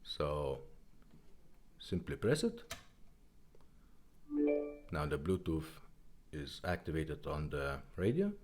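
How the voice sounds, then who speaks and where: A man who is not a native English speaker, giving a casual product demonstration in a halting, simple step-by-step way. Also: a bass voice, big pitch swings, a little mellow, faint music behind. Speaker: presenter of a vintage car radio demonstration.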